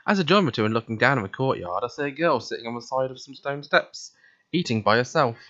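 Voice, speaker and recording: Animated male narrator, slightly muffled. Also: mid-range voice, fast, no room sound. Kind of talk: first-person visual novel narration, read aloud. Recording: dull, muffled home recording.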